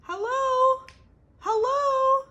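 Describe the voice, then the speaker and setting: A woman voicing a feisty female beekeeper who snaps out insults loudly. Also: extremely high pitch, very slow, highs cut off, pitched above the performer's own voice, exaggerated voice. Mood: calling out.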